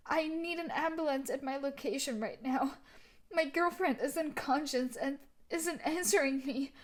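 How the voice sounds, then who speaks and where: A woman playing a tough, sadistic, possessive bully fiancée in a dramatic audio roleplay, scolding and emotionally intense. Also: very high voice. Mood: panicked, pleading.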